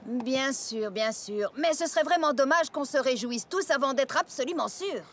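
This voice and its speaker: A woman's voice: sits high, lively intonation, normal speed, very noisy. A woman voicing a sensible, caring young farm pony mare in a French cartoon dub, earnest in manner. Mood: doubtful. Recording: music behind the voice.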